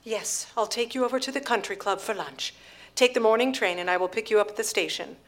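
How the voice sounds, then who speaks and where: A woman's voice: mid-range voice, a little fast, natural voice. An actress playing a prying, genteel society mother in a radio drama, theatrical and gushing. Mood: eager, prying. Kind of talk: live radio drama acting.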